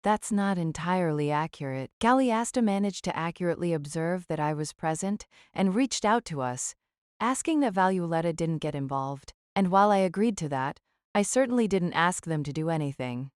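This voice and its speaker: A woman's voice: lowish voice, lively intonation, moderate pace, clear, resonant tone, no room sound, studio quality, pitched below the performer's own voice, natural voice. A woman voicing a shrewd old noblewoman mage in an audiobook, with a breezy, teasing and nonchalantly menacing manner. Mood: breezy deflection. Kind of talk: audiobook character acting.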